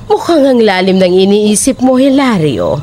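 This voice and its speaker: A woman's voice: medium pitch, very animated, warm tone, a little hiss or hum, lightly acted. A woman playing a sly, taunting, sinister old neighbour in a horror audio drama, drawing out her words mockingly. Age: older. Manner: drawn-out, mocking, cackling between lines.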